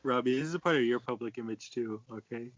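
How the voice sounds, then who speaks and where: A man's voice: medium pitch, quick pace, dry recording. A young man reading a story and bantering casually with friends. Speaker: co-host reading fan fiction aloud. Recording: dull lo-fi voice chat audio.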